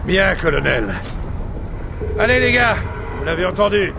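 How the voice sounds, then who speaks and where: A man's voice: high-pitched, big pitch swings, unhurried, gravelly, very noisy, full character voice. A man playing a brash lieutenant leading his squad in a video game, urgent and sardonic. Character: brash young squad-leader lieutenant in combat. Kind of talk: video game combat acting in French dub.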